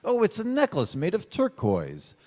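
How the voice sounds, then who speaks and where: A man's voice: high voice, huge pitch swings, normal speed, dark tone, full character voice. A man playing a mild, devoted husband, theatrical and soothing, in a stage radio play. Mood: affectionate, coaxing. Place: large hall, live stage performance. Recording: echoey hall with long reverb.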